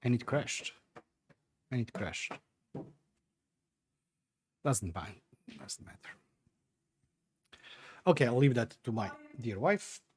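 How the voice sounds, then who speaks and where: A man's voice: mid-range voice, animated, takes it slowly, pauses often, a little hiss or hum. Chatty man streaming from home. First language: Croatian. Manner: hesitant, chatty, many fillers and restarts while tinkering. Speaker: livestream host. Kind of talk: casual livestream chat, answering viewer comments.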